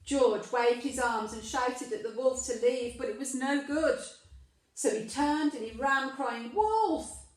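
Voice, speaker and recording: A woman reads a children's story slowly, in a fairly high voice. Her delivery is emphatic and aimed at young listeners. The room is very echoey and she sounds a bit away from the microphone. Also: steady tone, far from the mic. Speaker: primary school storyteller. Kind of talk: children's story read aloud, with questions to listeners.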